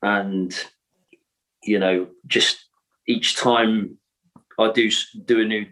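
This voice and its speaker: Low man with dry jokes. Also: fairly even intonation, slow pace, pushed and bright, poor audio. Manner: dry, joking asides. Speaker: band singer. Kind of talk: casual podcast interview.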